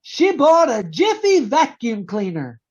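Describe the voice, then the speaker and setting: A squeaky, high-pitched teenage boy who is cheeky and gushing. His delivery is exaggerated and drawn out, swinging widely in pitch, as in vintage radio comedy. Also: huge pitch swings, takes it slowly, darkish tone, exaggerated voice. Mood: pleading, complaining. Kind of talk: old-time radio comedy acting. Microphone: video call.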